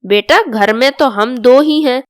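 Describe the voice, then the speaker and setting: A woman's voice: sits high, unhurried, dry recording, studio quality. A woman voicing an older, bossy and easily offended mother-in-law in a storybook drama. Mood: pleading. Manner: scolding, complaining.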